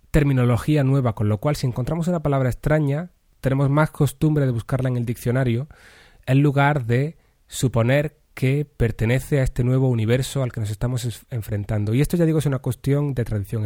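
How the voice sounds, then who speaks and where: A man from Andalusia hosting a solo podcast, speaking with a neutral Castilian Spanish accent that softens his Andalusian one, in a measured, articulate way with careful diction. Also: mid-range voice, no echo, studio quality. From Andalusia, Spain. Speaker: podcast host. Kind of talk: solo podcast monologue answering listener questions.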